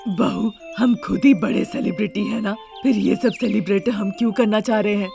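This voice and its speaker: A woman voicing a practical, sceptical older mother-in-law with a creaky voice in a family story. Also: medium pitch, steady tone, normal speed, mellow, soft background music, natural voice. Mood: matter-of-fact.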